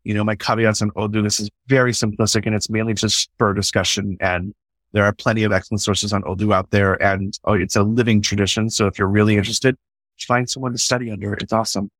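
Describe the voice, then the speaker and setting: A man's voice: on the low side, level tone, quick pace, a bit bright, dry recording, rough recording. A man speaking in an enthusiastic, conversational way, swearing casually and often restarting his sentences. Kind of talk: conversational podcast discussion.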